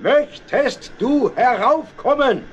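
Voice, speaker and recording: An actor playing a friendly, hearty locomotive driver in a children's radio play, jovially calling out. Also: male voice, very high-pitched, animated, slow pace, lo-fi sound, very noisy, full character voice.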